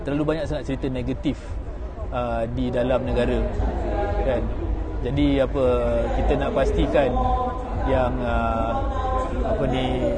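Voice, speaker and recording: A man interviewing a guest at a busy expo, often repeating words as he starts his questions. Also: medium pitch. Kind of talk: casual street interview. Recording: loud crowd behind.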